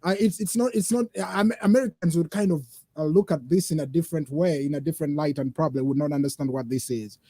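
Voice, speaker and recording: Host from uganda and sudan, kinda high mellow voice, stumbles and restarts a lot, dry sound. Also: male voice, dry recording. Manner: halting, many restarts. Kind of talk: casual interview.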